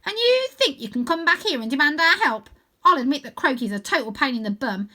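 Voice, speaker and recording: A woman's voice: high voice, big pitch swings, a little fast, bright, forceful tone, pitched above the performer's own voice, heavily acted. A woman voicing a tough, mean eight-year-old girl who leads a gang in a storybook, bossy and sneering. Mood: defiant.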